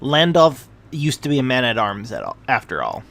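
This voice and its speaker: A man's voice: mid-range voice, huge pitch swings, moderate pace, vocal fry, fairly bright tone. A man reading a scripted fantasy tale aloud as its narrator.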